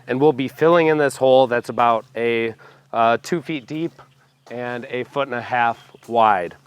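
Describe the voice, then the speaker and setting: A young man hosting a homestead vlog, hoarse from a cold, explaining his backyard planting step by step with enthusiasm. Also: medium pitch, moderate pace. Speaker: homestead channel host. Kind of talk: casual gardening vlog. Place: backyard, outdoors.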